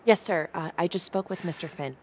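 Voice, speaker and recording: An actress playing a guarded, determined prosecutor in a crime thriller game, speaking in a dry, measured way. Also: female voice, fairly low pitch, darkish tone, a little hiss or hum, natural voice. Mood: earnest, careful. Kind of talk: naturalistic dramatic game acting. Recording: background music under the voice.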